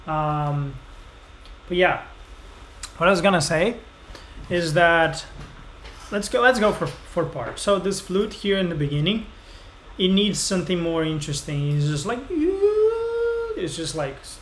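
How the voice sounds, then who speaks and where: A man's voice: high voice, very animated, unhurried, a bit bright. A man in his thirties from Brazil with a Brazilian Portuguese accent, casually explaining mixing feedback on a live stream, full of fillers. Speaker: music reviewer host. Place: small home studio room. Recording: roomy echo, faint noise.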